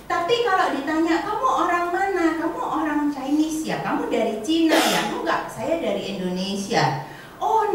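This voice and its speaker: A woman's voice: high-pitched, a little hiss or hum. A middle-aged woman, an insurance agent giving a chatty motivational talk to colleagues and laughing often. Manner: chatty, laughs often, addresses audience as friends. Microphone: camera or phone at a distance. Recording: echoey room, far from the mic.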